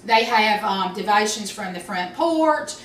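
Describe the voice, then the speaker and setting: Bright-voiced woman chatting casually. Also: mid-range voice, expressive, unhurried. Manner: chatty, rambling with fillers. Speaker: devotion speaker. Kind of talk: casual devotional talk. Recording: roomy, distant mic, faint hiss.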